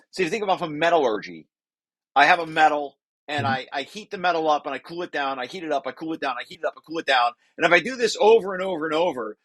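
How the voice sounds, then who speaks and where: A man's voice: on the high side, fairly bright tone. A man presenting a research paper draft informally to colleagues, repeating and rephrasing key phrases as he explains.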